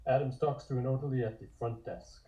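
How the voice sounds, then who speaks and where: A man narrates script directions in a measured, descriptive way. His voice is of medium pitch and very dark and mellow, with no edge at all. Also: lo-fi sound. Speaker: narrator reading stage directions.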